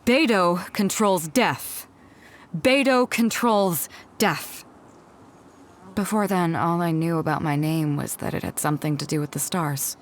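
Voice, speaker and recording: A woman's voice: lowish voice, close to an everyday voice. A woman voicing a bold, proud female ship captain in a video game, confident and teasing, with a theatrical delivery. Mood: somber, reflective. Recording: music under the voice. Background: background music.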